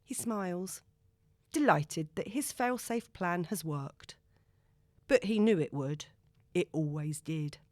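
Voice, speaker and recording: A woman audiobook narrator reading a novel in an expressive, dramatic storytelling manner. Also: fairly low pitch, slow pace, long pauses, dry recording, studio quality.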